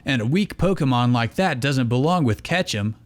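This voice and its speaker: A man's voice: mid-range voice, expressive, normal speed, natural voice. A man voicing an arrogant, snappy boy trainer in an amateur story reading. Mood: boastful. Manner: boastful, snapping.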